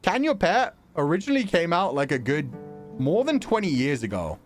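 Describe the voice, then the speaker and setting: A man's voice: a little high, big pitch swings, normal speed, soft background music. A young adult man streaming a visual novel, reading narration aloud and reacting with casual, sweary commentary. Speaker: game streamer.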